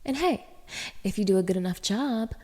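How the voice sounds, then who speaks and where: A woman's voice: mid-range voice, lively intonation, normal speed, warm tone, lightly acted. A woman playing a cruel, haughty young dominatrix in an audio roleplay, speaking straight to the listener with taunting, commanding contempt. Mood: contemptuous, commanding. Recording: reverberant, echoing room.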